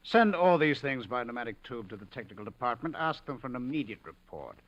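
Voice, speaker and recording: Male actor as stern army colonel, 1950s radio drama. Commanding, formal, animated delivery, dull vintage sound. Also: mid-range voice, fast, lightly acted. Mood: formal, skeptical. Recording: muffled old radio recording.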